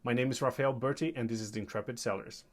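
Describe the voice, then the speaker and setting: Male podcast host and interviewer, medium-pitched voice, presenter delivery. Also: fairly even intonation, fast, faint music behind. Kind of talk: scripted intro and interview questions.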